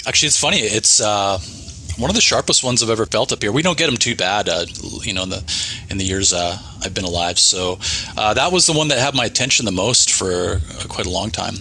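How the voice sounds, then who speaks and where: A Canadian man chatting casually on an online call, polite and a bit halting. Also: lowish voice, pushed and bright, slight background noise. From British Columbia, Canada. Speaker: guest, energy worker. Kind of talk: casual chat.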